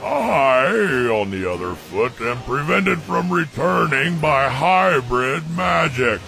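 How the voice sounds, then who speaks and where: A slow, brooding banished dragon. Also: male voice, medium pitch, animated, creaky, bright, forceful tone, full character voice. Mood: resentful, proud. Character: banished male dragon, gruff, brooding, proud of his might. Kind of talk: animated film voice acting.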